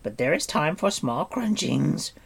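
A man's voice: fairly high pitch, big pitch swings, unhurried, gravelly, husky, voice pushed up, full character voice. A man voicing a hungry, fawning hairy forest creature who whines and wheedles. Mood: pleading. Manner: wheedling, talks of himself by name.

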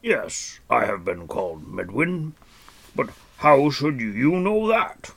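A man's voice: medium pitch, big pitch swings, gravelly, slightly rough, pitched above the performer's own voice, full character voice. A man voicing a stern, musing old hermit. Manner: slow, musing, absent-minded. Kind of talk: audiobook character voice.

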